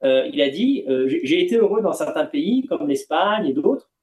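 A man's voice: fairly high pitch, very animated, normal speed, a little mellow. A man from France, speaking candidly and earnestly in a discussion, often hesitating and rephrasing. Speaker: panel guest. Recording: roomy, echoing sound.

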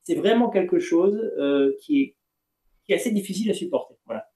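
A man's voice: high voice, lively intonation, fairly quick, mellow. A man from France, speaking candidly and earnestly in a discussion, often hesitating and rephrasing. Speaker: panel guest. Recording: roomy, echoing sound.

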